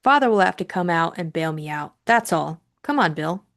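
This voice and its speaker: A woman voicing a headstrong young upper-class lady in an audiobook, brisk, teasing and probing. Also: fairly low pitch, animated, fairly bright tone. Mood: brisk, placating. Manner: adamant, probing. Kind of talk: audiobook character acting.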